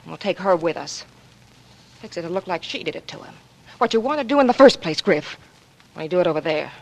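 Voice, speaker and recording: A woman's voice: lowish voice, animated, fairly quick, creaky, low-quality recording. An American woman acting a cold, scheming gangster's moll in a 1940s radio crime drama, with hard-boiled, slangy delivery. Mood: cold, plotting.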